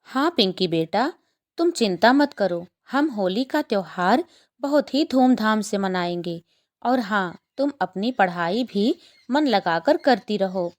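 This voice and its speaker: A woman voicing a gentle mother bird who is soothing and patient, in a children's cartoon story. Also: medium pitch, expressive, slow pace. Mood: encouraging.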